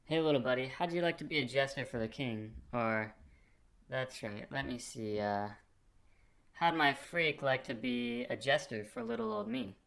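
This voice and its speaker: A man's voice: medium pitch, lively intonation, moderate pace, creaky, fairly bright tone. A man playing a crass, self-absorbed king in a puppet film, talking in a casual, rambling, condescending way. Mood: smug, amused. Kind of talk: puppet film character acting.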